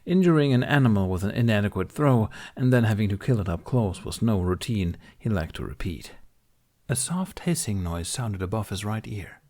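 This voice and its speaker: A man's voice: on the low side, expressive, moderate pace, darkish tone. A man reading scripted fiction as an audiobook narrator.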